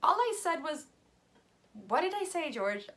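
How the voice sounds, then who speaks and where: Woman doing a chatty kid in a book reading, high n bright, casual and jokey. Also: unhurried, heavily acted. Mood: breezy, reassuring. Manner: expressive, casual, joking. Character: Kelly, chatty, breezy young schoolgirl, George's best friend.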